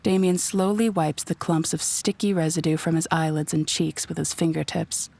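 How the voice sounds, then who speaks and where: A woman's voice: deep voice, fairly even intonation, normal speed, vocal fry, a little mellow. A woman narrating a scripted audio drama in a descriptive storytelling manner.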